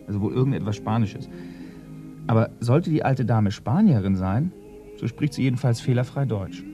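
An actor playing an earnest, pedantic police assistant in a radio crime play, precise and deadpan as he lists facts. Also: medium pitch, normal speed, dull audio, faint music behind, lightly acted. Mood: methodical.

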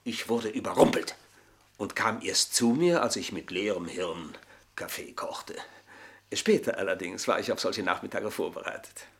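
An older actor playing an embittered, boastful manservant in a German radio play, speaking in a florid, mocking, theatrical way. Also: male voice, lowish voice, normal speed, vocal fry. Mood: bitter, confiding. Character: aging, embittered, boastful manservant taunting his mistresses.

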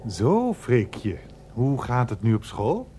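A man's voice: mid-range voice, wildly swooping pitch, slow, dark tone, slight background noise. An actor playing an evasive, unctuous older uncle in a radio play, stammering and repeating his words. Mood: avuncular.

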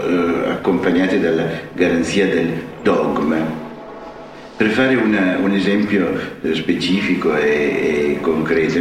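Deep-voiced man in a very echoey space. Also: slight background noise. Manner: hesitant, deliberate, scholarly phrasing. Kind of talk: reflective explanatory talk on religion. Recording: very echoey, distant mic, music behind.

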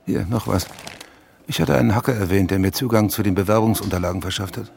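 A man's voice: on the low side, fast talker, gravelly, darkish tone, slight background noise. A man playing an intense, insistent investigative journalist who is hungry for an exclusive, in a radio drama. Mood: urgent warning. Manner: urgent, insistent, emphatic explaining. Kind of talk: German radio drama dialogue. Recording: music behind the dialogue.

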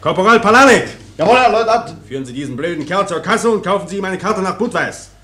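A short-tempered army lieutenant for a radio play. His voice is high and swings sharply up and down. He is curt and commanding, browbeating his subordinates with exasperation. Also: lively intonation, fast talker, fairly bright tone. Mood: irritated, commanding. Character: irascible, bullying army lieutenant barking at subordinates.

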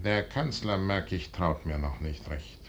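Tiefer, bedächtiger, grübelnder Feldherr. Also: male voice, deep voice, slight background noise. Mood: calculating, proud. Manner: grave, declamatory, deliberate, with hushed passages. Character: proud, brooding middle-aged male general and duke, scheming. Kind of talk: classical German stage verse, radio drama acting.

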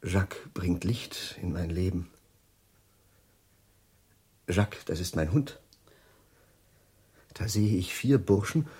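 An actor in a radio drama playing a lonely, absent-minded, overwrought middle-aged professor with a husky, mellow voice and a halting, musing manner. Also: male voice, deep voice, exaggerated voice.

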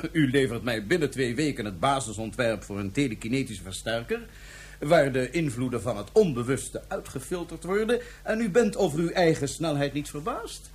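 Power-hungry sci-fi radio villain: husky, imperious, highly animated delivery. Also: male voice, normal speed. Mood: probing, amused. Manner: imperious, emphatic, theatrical. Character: demanding, power-hungry male villain ordering a powerful invention. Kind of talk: Dutch science-fiction radio drama acting.

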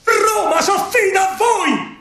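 Man acting a commanding Roman army captain, pitched up. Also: slow pace, sharp tone, echoey room, heavily acted. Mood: rousing. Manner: commanding, exhorting.

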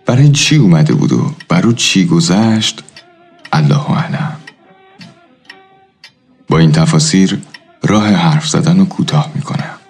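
A man's voice: deep voice, expressive, long pauses, darkish tone, slightly rough, soft background music. A man narrating a radio drama in a reflective, literary storytelling manner.

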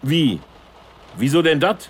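Older male politician, scheming and colloquial, highish voice with swinging melody, satirical radio drama. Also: sing-song, measured pace, slight background noise. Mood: bewildered. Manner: colloquial, blunt. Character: scheming old failed politician, gruff and blunt. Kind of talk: satirical radio play dialogue.

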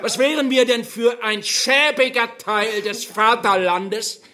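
An actor playing a pompous rural Nazi functionary in a radio play, giving a declamatory, unctuous speech with dramatic pauses. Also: male voice, very high voice, fairly even intonation, pushed and bright, full character voice. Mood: unctuous, solemn.

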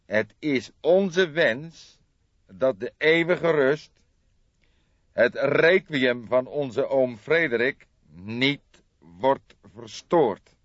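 A man acting an indignant, menacing gangster, raised pitch. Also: animated, no echo, highs cut off, heavily acted. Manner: agitated, emphatic, deliberate, threatening. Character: violent gangster nephew, indignant, menacing, formal Dutch man. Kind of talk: dramatised audiobook character reading.

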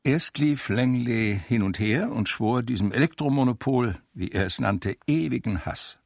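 An actor playing an ageing, melancholy recluse who recalls his life in measured literary radio drama narration with dry irony. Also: mid-range voice, vocal fry, no echo. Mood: wry, reflective.

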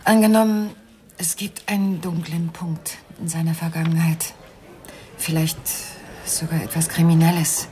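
An actress playing an elegant, worried wife in a radio crime drama, composed and quietly pleading in a measured, refined manner. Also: female voice, deep voice, little pitch movement, a little hiss or hum. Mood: quiet, determined.

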